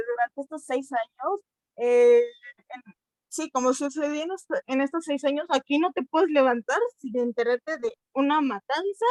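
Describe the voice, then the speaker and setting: High-voiced teenage Mexican girl, earnest. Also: darkish tone, no echo. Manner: earnest, searching for words, frequent restarts and rhetorical questions. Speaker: essay contest participant. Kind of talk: unscripted talk at a youth colloquium.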